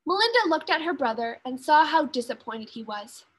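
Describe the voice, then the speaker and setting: A woman teacher reading a children's story aloud with expressive narration. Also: high-pitched, normal speed.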